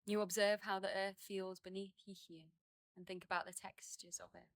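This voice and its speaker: A woman leading a guided meditation outdoors, speaking in gentle, unhurried phrases with many pauses and soothing instruction. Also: mid-range voice, level tone, no echo. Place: outdoors in a garden.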